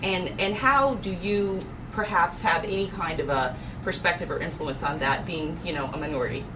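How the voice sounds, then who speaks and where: A woman's voice: lowish voice, normal speed. A woman moderating a panel in a conversational style. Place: event room at a live panel discussion. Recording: noisy room, distant microphone.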